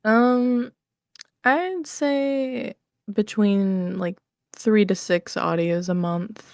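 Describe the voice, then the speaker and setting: A woman's voice: medium pitch, drawn-out words, darkish tone, no echo, studio quality. A woman answering interview questions as a guest in an informal, hedging, self-effacing way. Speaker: podcast guest, ASMR roleplay creator.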